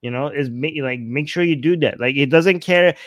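A man hosting a livestream, reading texts and viewer messages aloud and commenting casually in an animated, mock-incredulous, digressive way. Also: fairly high pitch, normal speed, no room sound. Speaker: livestream host.

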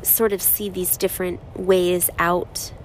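A woman in her thirties speaking slowly and softly in a reflective personal monologue, her voice raspy from crying. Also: on the low side, level tone, slight background noise, low-quality recording. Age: 30s. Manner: slow, soft-spoken, halting with pauses.

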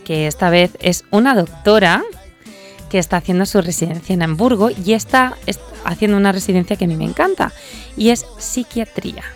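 A woman hosts a radio morning show in a lively, teasing way, joking with her co-host and her guest. Her voice has a gravelly, fry-tinged edge. Soft music plays underneath. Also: mid-range voice, fast. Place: radio studio.

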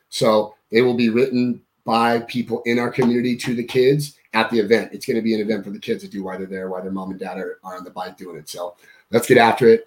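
A man's voice: lowish voice, rough recording. A man as a podcast guest, upbeat and rallying, hyping up the event. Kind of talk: casual podcast chat.

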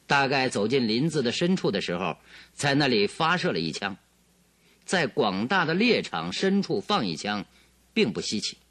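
An assured detective with animated, dry studio delivery. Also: male voice, mid-range voice, no room sound. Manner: expository, assured, theatrical. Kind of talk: Chinese radio drama acting.

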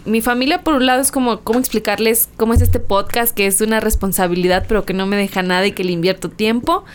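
A woman's voice: mid-range voice, fairly even intonation, quick pace, clear, resonant tone. A woman, a guest on a podcast, chatting casually and agreeing readily, with plenty of slang. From Mexico.

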